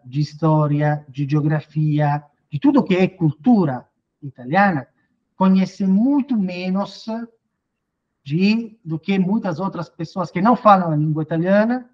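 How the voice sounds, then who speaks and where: A man in his thirties explains legal points in an interview over a webcam link. His voice sits a little high and the audio is muffled and lo-fi. He talks with many fillers and restarts. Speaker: lawyer interviewed on citizenship law. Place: home office indoors. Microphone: video call webcam. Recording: dull lo-fi video call audio.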